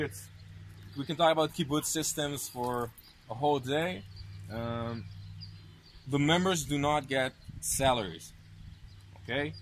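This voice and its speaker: A man's voice: medium pitch, a bit bright. A man working as a tour guide, explaining informally step by step with rhetorical questions, in English shaped by American and South African parents. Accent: English with Anglo influence from American and South African parents. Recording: clear outdoor ambient noise.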